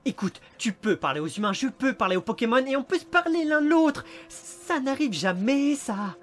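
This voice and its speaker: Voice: mid-range voice, lively intonation, a little fast, natural voice. A performer voicing a small talking creature, a cocky amnesiac detective with a sarcastic, dramatic, wisecracking manner, in a French film fan dub. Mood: earnest, hopeful. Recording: music behind the voice.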